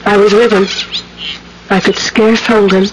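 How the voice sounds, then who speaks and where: An actress playing a kind, anxious young gentlewoman in an old-time radio drama, speaking in formal, genteel phrases. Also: mid-range voice, little pitch movement, a little slow, a little hiss or hum, natural voice, low-quality recording. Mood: earnest, guarded. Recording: old radio recording with music.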